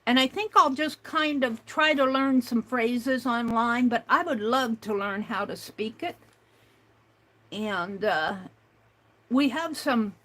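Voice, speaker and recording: An older woman hosting an art livestream, chatting slowly and reading out viewer comments, with many fillers and restarts. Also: fairly high pitch, fairly bright tone, rough recording. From United States.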